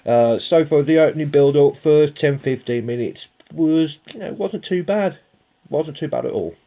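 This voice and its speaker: A man's voice: on the high side, normal speed, dark tone. A man with a Birmingham accent giving a casual, rambling TV episode review, full of fillers and jokey asides to viewers. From England.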